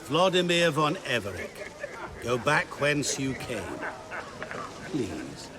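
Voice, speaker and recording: A man voicing a menacing, commanding, cruelly calm figure in a video game, with deliberate threatening delivery. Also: mid-range voice, crowd noise. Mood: cold, menacing. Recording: very noisy outdoor game scene.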